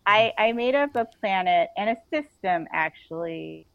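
A woman being interviewed on a casual podcast, telling stories in an animated way and acting out bits of dialogue. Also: medium pitch, moderate pace, creaky, muffled recording, a crowd in the background. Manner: animated storytelling, quotes imagined dialogue, giggly. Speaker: author, interview guest.

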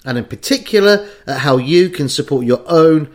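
A male podcast host reading from a script with a clear, measured delivery. His voice sits a little high for a man and moves through a lively, wide pitch range.

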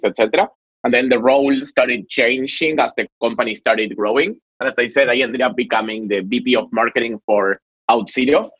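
A man from Argentina with an Argentine accent, talking casually as a guest in an interview, chatty and animated with frequent fillers. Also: mid-range voice, breathy edge, muffled recording. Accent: Argentine Spanish. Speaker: guest, marketing executive.

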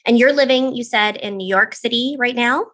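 A woman's voice: on the high side, normal speed, a bit bright. A woman hosting a podcast, upbeat and enthusiastic as she introduces and questions a guest. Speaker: podcast host.

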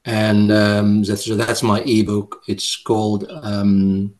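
A South African man speaks slowly in a fairly low voice. He is a poet, talking casually and reading his verse, stopping and restarting with lots of fillers. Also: completely flat delivery, darkish tone. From Cape Town, South Africa. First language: Afrikaans. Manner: hesitant, halting with many fillers and restarts. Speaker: poet, guest. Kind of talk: casual interview, reading his poem aloud.

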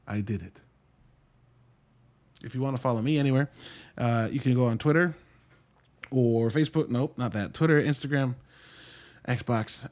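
A man's voice: medium pitch, darkish tone. Casual, rambling man in his thirties. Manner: rambling, informal and profane, joking asides. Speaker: podcast host and movie reviewer. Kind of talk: casual solo movie review podcast.

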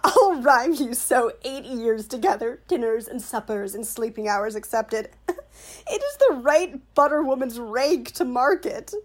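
A woman's voice: fairly high pitch, expressive, unhurried. A woman playing a witty, pompous male court fool who teases with mock logic in a Shakespearean radio play. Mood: mocking, gleeful.

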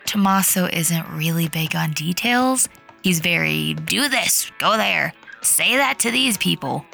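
A woman's voice: fairly low pitch, lively intonation, measured pace, gravelly, sharp tone, soft background music, full character voice. A woman playing a breezy, wry pub bartender who deals on the side, in a modern audio drama. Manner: casual, wry.

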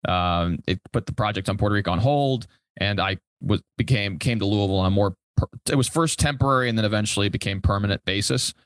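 A man's voice: on the low side, fast, no echo. A young adult man from Europe chatting casually as a guest in a podcast interview. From Europe, born in Italy and raised there and in Germany until fourteen. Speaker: podcast guest, commercial real estate broker.

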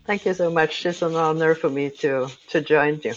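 A woman's voice: sits low, fairly even intonation, moderate pace, soft background music. A woman with a Norwegian accent answering interview questions, speaking carefully and hesitantly as a non-native English speaker. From Norway. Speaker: guest, dog breeder. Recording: dull lo-fi remote line.

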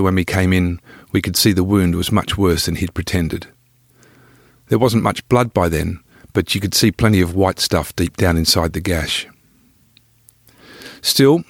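A man's voice: sits low, no echo, clean studio sound. A middle-aged man reading his own travel memoir as scripted first-person narration.

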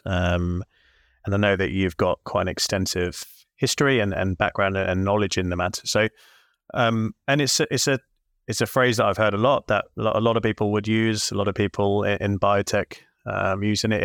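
A man's voice: lowish voice, fairly bright tone, dry recording, clean studio sound. A man hosting a podcast interview, asking friendly, rambling questions with many fillers. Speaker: podcast host.

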